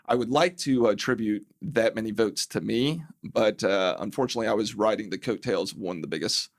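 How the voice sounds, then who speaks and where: A man's voice: lowish voice, a little fast, dry recording, clean studio sound. A man, a city council member, speaking as a podcast guest in a wry, analytical way with many fillers. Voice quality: gravelly. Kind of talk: casual interview chat.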